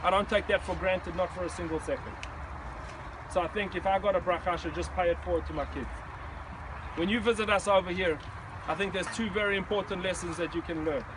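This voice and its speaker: A man's voice: high-pitched, noisy background. A middle-aged man with a South African accent giving an earnest, emphatic motivational speech to a visiting group outdoors. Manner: earnest, emphatic, acts out quoted shouting. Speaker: speaker addressing a visiting group.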